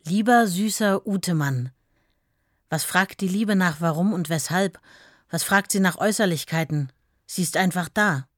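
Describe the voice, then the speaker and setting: Woman as devoted tender fiancée, lower-pitched voice, earnest, heartfelt, love letters, radio play, dry close sound. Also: moderate pace, no room sound, lightly acted. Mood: earnest devotion.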